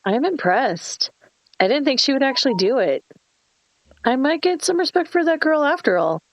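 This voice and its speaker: A woman acts out a scheming, bossy young mean girl for a horror table read. She is sarcastic and entitled, with a lively, swooping delivery. The recording is dry and a little muffled. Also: high-pitched, normal speed, no room sound. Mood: smug, sarcastic.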